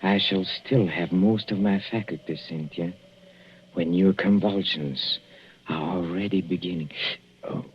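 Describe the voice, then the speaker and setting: A man playing a possessive, cruelly polite husband in a 1940s radio thriller, speaking in a deliberate, sardonic and halting theatrical manner. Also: low-pitched, steady tone. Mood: smug menace. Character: possessive, cruelly polite husband poisoning his wife, himself failing. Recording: muffled, noisy old radio recording.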